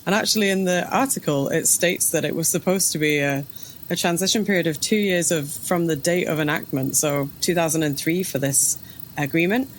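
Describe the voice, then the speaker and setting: A woman's voice: deep voice, brisk, low-quality recording. A woman co-hosting a casual podcast discussion, phrasing her thoughts in a hesitant, circling way. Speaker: podcast co-host. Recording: background ambient noise.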